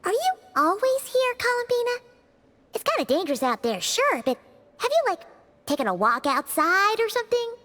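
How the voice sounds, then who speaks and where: A very high, squeaky little fairy, chirpy and chatty, with exclamations that swoop up and down. It is a childlike anime game companion, excitable and eager. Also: female voice, normal speed, exaggerated voice. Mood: chatty, curious. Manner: refers to self in third person, exclamatory.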